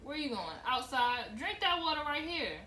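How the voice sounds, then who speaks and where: Woman putting on a blunt scolding mother, higher and brighter than her own voice, roomy echo. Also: sing-song, normal speed, exaggerated voice. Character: stern, sharp-tongued mother of young girls. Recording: roomy echo, faint hiss.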